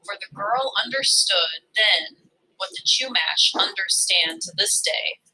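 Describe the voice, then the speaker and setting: Bright, animated woman reading a story aloud. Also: mid-range voice, a little hiss or hum, poor audio. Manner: dramatic, deliberate phrasing. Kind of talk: expressive children's story read-aloud.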